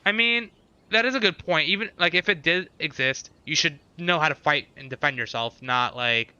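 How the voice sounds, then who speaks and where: A man's voice: a little high, normal speed, pushed and bright, dull audio. A man chatting casually with viewers as he streams a game, repeating short phrases and thinking aloud.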